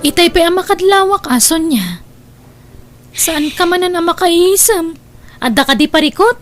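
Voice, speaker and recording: A woman's voice: very high voice, lively intonation, unhurried, faint music behind. A woman acting as an inquisitive, chatty young confidante in an audio drama, speaking with an Ilocano accent. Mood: curious, sympathetic.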